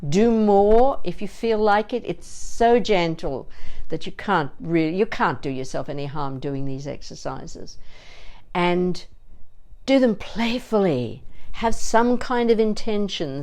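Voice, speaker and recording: An older woman with a somewhat low-pitched voice teaches as a voice coach. She talks informally and playfully, wandering into asides as she explains things to her students. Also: a little mellow. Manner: chatty, digressive, playful. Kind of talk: informal instructional talk with demonstrated vocal exercises.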